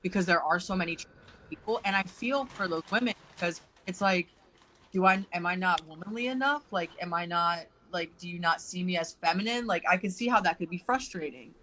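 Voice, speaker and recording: A man co-hosting a live talk show, speaking in a thoughtful, hedging way with soft laughs. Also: sits high, brisk, poor audio. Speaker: co-host. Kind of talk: casual live commentary chat.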